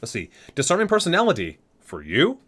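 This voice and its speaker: A man's voice: a little high, huge pitch swings, brisk, fairly bright tone, slightly rough. A man doing an ASMR reading, reciting expressively and dropping in casual, murmured offhand remarks. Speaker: video creator reading aloud from a game guide book.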